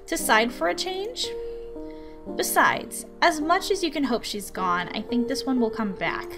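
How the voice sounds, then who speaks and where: Exasperated, dryly scolding guardian read by a woman, animated. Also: high-pitched, measured pace. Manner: dry, scolding, sardonic. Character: exasperated, dutiful guardian narrating a visual novel. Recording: music behind the voice.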